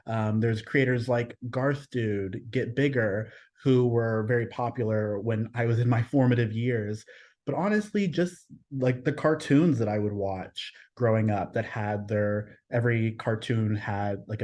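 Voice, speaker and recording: A man from Texas, a guest artist on a podcast, talking casually and thoughtfully, often pausing to find his words. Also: on the low side, a little mellow. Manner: thoughtful, searching for words, frequent restarts.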